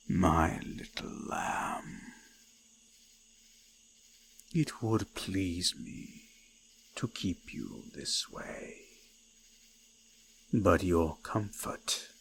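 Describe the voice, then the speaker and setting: Very slow, deep eldritch forest god. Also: male voice, vocal fry, fairly bright tone, a little hiss or hum, heavily acted. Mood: possessive, teasing. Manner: slow, ominous, formal, often whispered. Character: ancient eldritch forest god, possessive, wrathful, solemn. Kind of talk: scripted roleplay audio, intimate ASMR.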